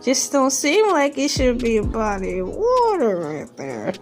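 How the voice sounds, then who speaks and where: A woman's voice: fairly high pitch, sing-song, unhurried. A woman hosting a casual, comedic commentary on a news article, with incredulous, joking and rambling asides. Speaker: video host. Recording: music bed under the voice. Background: background music.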